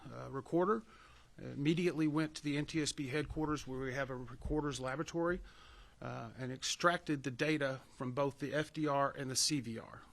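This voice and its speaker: A man's voice: mid-range voice, steady tone, gravelly, fairly bright tone. Man giving a formal official press briefing. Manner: measured, procedural, explanatory.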